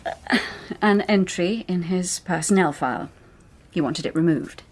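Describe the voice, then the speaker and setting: A woman's voice: fairly low pitch, measured pace. An actress playing a shrewd, secretive British intelligence officer in a radio drama, intimate and pointed in her delivery. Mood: confiding.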